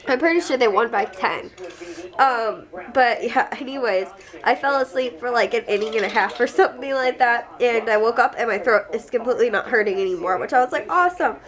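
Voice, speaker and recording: A woman's voice: fairly high pitch, brisk, fairly bright tone. Congested young woman vlogger, rambling. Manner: rambling, chatty, laughs often. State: congested, sick. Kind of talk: casual chat to camera. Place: at home.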